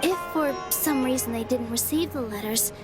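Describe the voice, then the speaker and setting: A woman's voice: high voice, normal speed, natural voice. A woman playing a cheerful, modest young dancer in a video game, speaking sweetly, earnestly and politely. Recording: loud game music behind. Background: game music.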